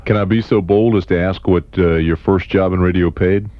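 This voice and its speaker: A man's voice: low voice, steady tone, moderate pace, no room sound. An American man co-hosting a radio show, asking questions and responding in a conversational on-air style. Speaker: radio co-host. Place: dry radio studio. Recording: slightly dull radio aircheck.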